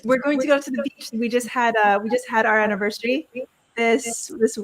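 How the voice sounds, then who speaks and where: A woman in her thirties co-hosting a video chat from home, excitable and chatty as she shares personal news. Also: on the high side, a little fast, noisy background. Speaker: co-host. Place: home, indoors, on a video call.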